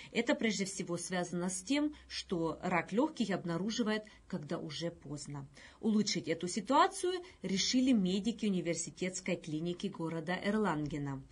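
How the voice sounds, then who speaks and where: A middle-aged woman presenting scripted news in a studio, with a slightly husky voice. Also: fairly low pitch. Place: indoor video news studio.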